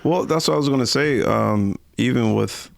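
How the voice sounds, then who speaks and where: A man's voice: mid-range voice, normal speed, gravelly, no room sound, studio quality. An American man chatting casually as a podcast host, speaking colloquially and repeating phrases for emphasis.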